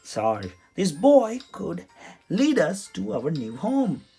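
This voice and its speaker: A man's voice: high-pitched, wildly swooping pitch, slow pace, vocal fry, warm tone, breathy edge, voice pushed up, exaggerated voice. A man voicing an eager, loyal young toy soldier reporting earnestly to his sergeant in a children's storybook. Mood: hopeful. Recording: music behind the voice.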